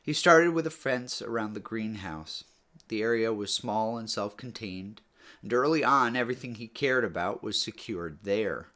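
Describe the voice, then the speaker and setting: Male audiobook-style narrator, fairly low pitch, measured storytelling delivery with falling phrase endings. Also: sharp tone. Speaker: author or reader narrating a book read-aloud.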